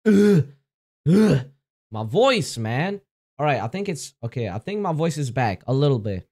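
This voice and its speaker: A young man playing a horror game and commenting into a headset mic in a small room, jumpy and gasping at scares, his voice going hoarse from all the screaming. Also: a little high, big pitch swings, a little slow, a little mellow. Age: young adult. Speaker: gaming streamer.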